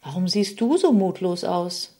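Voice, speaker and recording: A woman voicing a small, gentle old woman named Hope in a storybook, speaking softly and comfortingly. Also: on the low side, lively intonation, unhurried. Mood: calm. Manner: soft, tender, comforting.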